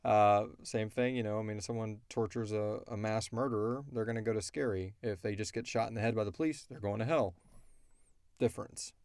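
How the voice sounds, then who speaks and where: A man's voice: on the low side, fairly even intonation, brisk, a little mellow, dry recording, studio quality. A man hosting a casual chat, answering questions at length with opinionated, slangy asides. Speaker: podcast host answering listener questions.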